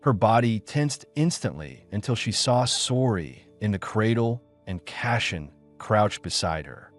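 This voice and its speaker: A man's voice: on the low side, animated, unhurried, faint music behind. A man reading a dramatic scripted story as an audiobook narrator.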